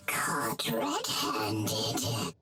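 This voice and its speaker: An actor playing a sly, sneering assassin villain in an anime game, theatrical and taunting. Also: medium pitch. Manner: theatrical, taunting, drawn-out delivery.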